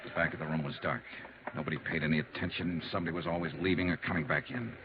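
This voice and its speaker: An actor playing a steady, hard-boiled investigator narrating his case in clipped 1950s radio drama style. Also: rumbling low, rapid-fire, noisy background. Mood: tense narration. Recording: muffled 1950s radio recording.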